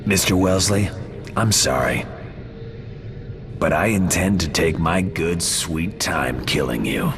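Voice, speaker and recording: A gruff video game action hero, with a very deep voice that swings widely in pitch. He is cocky and terse, tossing off tough wisecracks in a gravelly tone. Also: animated, slow pace, long pauses, over music, heavily acted. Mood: cold resolve. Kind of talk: video game cutscene acting.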